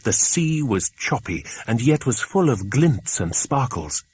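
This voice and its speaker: A man reading a children's adventure audiobook, an expressive scripted narration. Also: mid-range voice, a little slow, husky, no room sound.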